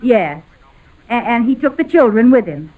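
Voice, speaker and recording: High-voiced scheming radio comedy wife. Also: female voice, sing-song, normal speed, dark tone, low-quality recording. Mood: mock-solemn. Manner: wisecracking, mock-solemn. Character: scheming quick-witted wife improvising lies for her friend. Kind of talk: 1940s radio comedy acting. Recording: old 1940s radio recording, faint noise.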